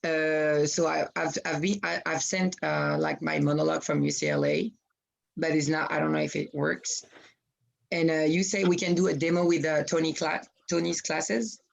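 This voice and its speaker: Husky-voiced young woman. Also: low-pitched, flat delivery. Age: 20s. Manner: hesitant, halting with restarts. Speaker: acting student. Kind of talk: asking questions in class.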